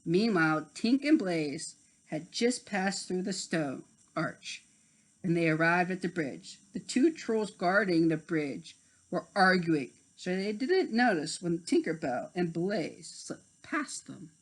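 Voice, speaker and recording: A woman narrating a scripted children's story deliberately, with dramatic pauses. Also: fairly low pitch, lively intonation, rough recording. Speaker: storybook reader.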